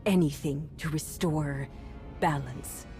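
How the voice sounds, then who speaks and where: A woman voicing a calculating, coldly menacing warlord in a video game, measured, solemn and authoritative. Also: low-pitched, mellow. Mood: resolute, solemn. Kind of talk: dramatic video game monologue. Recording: music under the voice.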